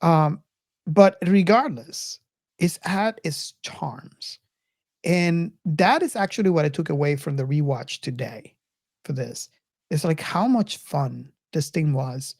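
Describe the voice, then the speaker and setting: A middle-aged woman with a low-pitched voice hosts a casual film chat. She speaks in a reflective, halting way, circling back over phrases, and the recording is close and dry. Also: darkish tone, no echo. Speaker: podcast host.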